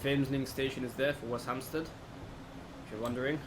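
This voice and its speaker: A man's voice: mid-range voice, normal speed. A man giving casual, unscripted let's play commentary, thinking aloud as he goes. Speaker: gaming video host. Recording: clear steady background noise, air-conditioning-like hum.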